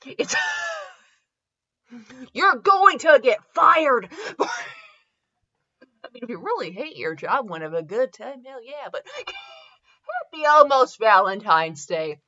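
A woman's voice: fairly high pitch, wildly swooping pitch, takes it slowly, pauses often, sharp tone, highs cut off. A woman hosting a comedy fanfiction reading, giggly and mock-dramatic, breaking off into casual asides. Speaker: podcast host reading fanfiction.

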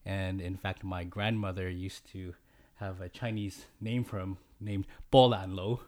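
A man's voice: low voice, level tone, unhurried, darkish tone. A man hosting a podcast, introducing and interviewing a guest with polite, hesitant phrasing full of fillers. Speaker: podcast host.

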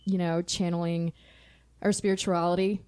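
A woman guest speaking hesitantly and softly, searching for words. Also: lowish voice, level tone, normal speed, clear, resonant tone. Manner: hesitant, soft-spoken, unsure. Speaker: band member, guest.

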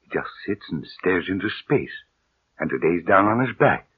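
A respectful, formal household servant in an old radio thriller. His voice is low and husky, with a lot of movement in pitch. The recording sounds thin and tinny. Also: lively intonation, normal speed, dry recording. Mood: polite, concerned. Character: deferential, grave male household servant. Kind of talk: 1940s-50s American radio suspense acting.

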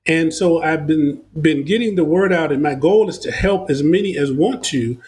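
An American man, a podcast guest, telling stories in animated conversation, often restarting his sentences. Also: a little high, moderate pace. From United States. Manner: animated, uses analogies, repeats words when starting thoughts. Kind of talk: conversational storytelling.

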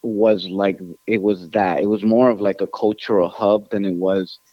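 A low-voiced man rambles through his story in a podcast interview, full of "um" and "you know", his voice leaping higher when he gets excited. The sound is dry and a little dull, as if from a modest mic. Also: moderate pace, no echo. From New York City, Queens, Ecuadorian family. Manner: rambling, many fillers and restarts, pitch jumps up when animated. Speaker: restaurant owner, guest. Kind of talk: casual interview talk.

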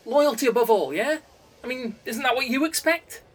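A man voicing a panicky male coder who pleads loudly in a quavering voice, recorded a bit distant from the mic. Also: extremely high pitch, expressive, normal speed, pitched above the performer's own voice. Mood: pleading.

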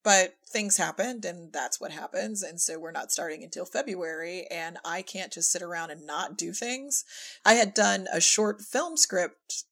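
Woman, fairly low pitch, noticeable vocal fry, casual anecdotal chat, informal quoting. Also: a little slow, fairly bright tone. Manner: informal, quotes herself and others conversationally. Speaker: audio drama writer-director in conversation.

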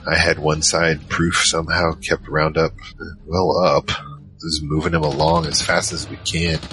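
An actor playing a huge, shaken survivor recounting a monster battle in a halting first-person audio drama narration. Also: male voice, little pitch movement, poor audio. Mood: tense, shaken. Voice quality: very low, heavy voice. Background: music.

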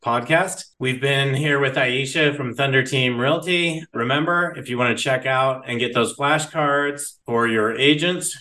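A man's voice: medium pitch, sharp tone, rough recording. A man hosting a business podcast, interviewing a guest in a casual, thinking-aloud way with frequent restarts. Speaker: podcast host.

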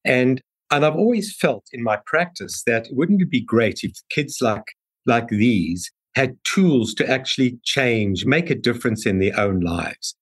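A husky man's voice of medium pitch, with a South African accent. He speaks as an expert interview guest, giving measured, earnest explanations. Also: lively intonation. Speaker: podcast guest, developmental pediatrician.

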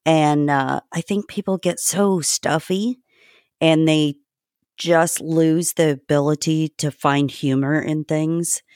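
A woman's voice: low-pitched, fairly even intonation, slow, a little mellow, clear, resonant tone, no echo, clean studio sound. A woman hosting a casual podcast, chatty and giggly, swearing now and then. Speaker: podcast host.

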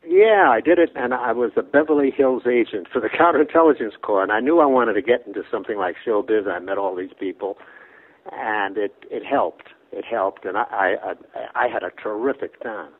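A man in his nineties from Brooklyn, a guest telling long, rambling anecdotes that trail off softly, with restarts and chuckles. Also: on the low side, a little fast. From Brooklyn, New York. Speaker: interview guest. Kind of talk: casual storytelling interview. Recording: phone-like narrow band.

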